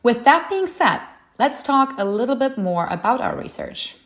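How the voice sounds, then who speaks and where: A woman co-hosting a podcast, introducing the episode's topics in a measured way. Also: a little high, big pitch swings. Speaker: podcast co-host.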